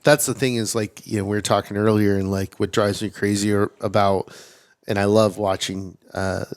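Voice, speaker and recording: A man hosting a podcast interview, talking in a relaxed way full of fillers like "you know". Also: on the low side, normal speed, dry recording. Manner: relaxed, filler-heavy, admiring. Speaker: podcast host. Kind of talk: casual interview.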